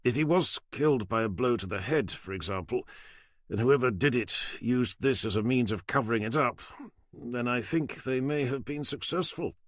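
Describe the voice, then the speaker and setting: A man voicing a sarcastic male police doctor who talks in a slow, dry drawl. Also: medium pitch, dry recording, voice pushed up, close to an everyday voice. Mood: sardonic.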